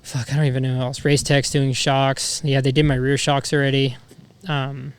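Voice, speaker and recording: A man's voice: mid-range voice, little pitch movement, moderate pace, smooth. A man chatting casually as a podcast guest, slangy, profane and self-deprecating. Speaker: podcast guest, motorcycle racer.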